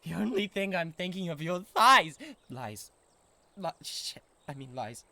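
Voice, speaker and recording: A man's voice: fairly high pitch, expressive, a little slow, vocal fry, sharp tone, no room sound, heavily acted. A man with a British accent playing a lovesick, possessive giant who stammers and gets defensive in a comic audio roleplay. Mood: defensive, panicked. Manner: stammering, pleading, easily riled defensiveness.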